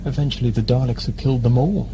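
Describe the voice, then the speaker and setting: A man's voice: mid-range voice, steady tone, quick pace, background noise, lightly acted. An actor playing an earnest, unsure male stowaway in an audio drama, speaking hesitantly. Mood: doubtful.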